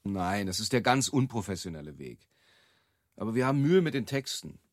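A man's voice: on the low side, very animated, brisk, no echo, clean studio sound. An actor playing an exacting, impatient male advertising boss with a curt, decisive way of talking in naturalistic radio drama. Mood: brisk.

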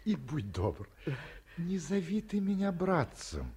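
An actor playing an aged academic in a 1950s radio play, gently fussing and soothing an old friend. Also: male voice, on the high side, huge pitch swings, slow pace, husky, full character voice. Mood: flustered. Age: older. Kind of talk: 1950s radio drama acting.

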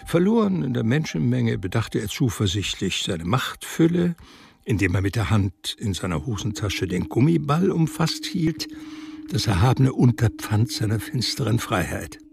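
Male narrator, mid-pitched with fry, measured literary reading, varied melody over clear music. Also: big pitch swings, a little mellow. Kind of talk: literary scripted narration in a radio drama.

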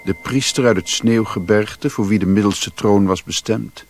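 An actor as a grave storyteller narrating a radio play in a deliberate, literary manner. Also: male voice, fairly low pitch, steady tone, lightly acted. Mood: mysterious, vivid. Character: grave storyteller recounting a mysterious journey.

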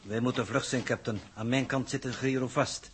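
An actor playing an iron-tough, imperturbable mission coordinator in a 1960s radio drama, formal and precise. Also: male voice, mid-range voice, fairly even intonation, moderate pace, natural voice. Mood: brisk, commanding. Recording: slightly noisy 1960s radio recording.

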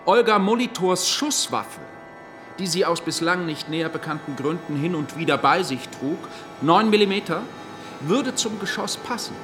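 An actor playing a stern, accusatory lawyer in a radio courtroom drama, speaking in formal, pointed rhetoric. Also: male voice, a little high, animated, moderate pace, music in the background, close to an everyday voice. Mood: insistent, formal. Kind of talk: German radio play acting.

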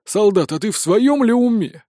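A man's voice: high-pitched, expressive, normal speed, warm tone, no echo, clean studio sound, pitched above the performer's own voice. A man voicing a suspicious, spiteful village elder who accuses and rouses a mob in a fantasy audiobook. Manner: accusing, rabble-rousing.

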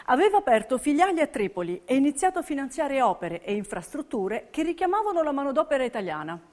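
A woman's voice: high-pitched, very animated, quick pace, a little mellow. A woman narrating a scripted theatre piece with emphatic, dramatic storytelling. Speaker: stage narrator.

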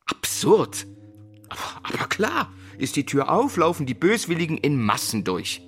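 A man's voice: a little high, very animated, normal speed, a bit bright, husky, soft background music, exaggerated voice. An actor playing a gifted young male teacher in a drama, defensive and brittle, clipped and proudly self-assured. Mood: startled, defensive. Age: young adult. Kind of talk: German stage-style radio play dialogue.

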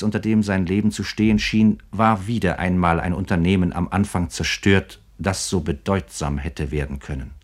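Deep-voiced, deliberate male commentator. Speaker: narrator, commentator. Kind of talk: scripted literary radio commentary.

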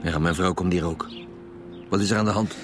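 An actor playing a gruff, blunt chief homicide inspector with a Flemish accent in a radio drama. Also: male voice, sits low, fairly even intonation, fairly quick, music in the background, close to an everyday voice. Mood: businesslike, blunt. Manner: blunt, businesslike. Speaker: detective. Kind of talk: naturalistic radio drama acting.

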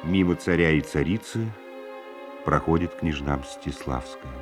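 Low-voiced male radio play narrator. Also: unhurried. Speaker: narrator reading stage directions. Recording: loud music under the voice.

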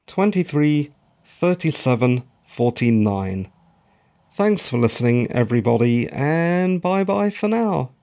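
Croaky, slow-talking man. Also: a little high, a little mellow. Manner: rambling, self-mocking asides. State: croaky, tired voice. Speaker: podcast host. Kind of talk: solo podcast recap monologue.

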